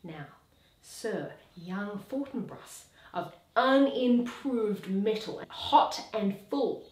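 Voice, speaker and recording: A woman playing a grave, scholarly nobleman in dramatic, declamatory Shakespearean verse, deliberate and with hushed dips. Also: medium pitch, lively intonation, echoey room. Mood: measured, explanatory. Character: grave scholarly Danish nobleman Horatio, awed yet commanding.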